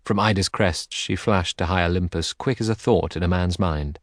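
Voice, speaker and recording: Deep-voiced man narrating an epic, close and dry. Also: normal speed, no room sound. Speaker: audiobook narrator. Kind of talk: dramatic literary narration of epic verse.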